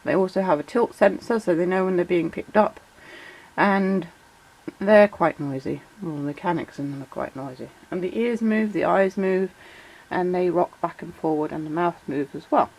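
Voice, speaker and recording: A woman with a croaky voice and a sore throat from a cold, chatting casually as she explains toy features in a vlog. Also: fairly low pitch, moderate pace, rough recording. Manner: chatty, many fillers, reads out shout-outs. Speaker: toy collector vlogger.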